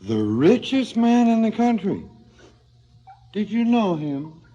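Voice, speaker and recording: An actor playing a drunken, sardonic crooked cop in a theatrical radio drama, with a halting drawl. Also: male voice, sits high, big pitch swings, dark tone, dull audio, exaggerated voice. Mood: mock friendly. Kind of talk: 1970s radio drama acting.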